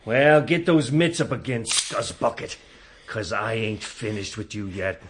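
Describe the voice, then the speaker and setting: A dim, abusive American army colonel in a radio comedy, over clear background noise. The voice is lowish and lively, gruff and full of suspicion. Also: male voice, unhurried. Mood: taunting. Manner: gruff, taunting, slangy. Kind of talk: comic radio play acting.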